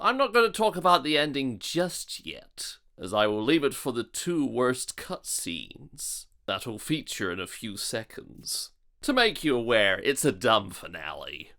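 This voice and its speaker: Sarcastic reviewer with animated, swooping delivery. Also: mid-range voice, measured pace. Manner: sarcastic, mocking. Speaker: video reviewer and narrator. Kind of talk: sarcastic scripted review commentary.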